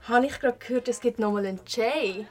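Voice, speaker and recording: A woman's voice: on the high side, fairly quick, soft background music, close to an everyday voice. A woman playing a playful, affectionate young party girl in a contemporary radio play. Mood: eager.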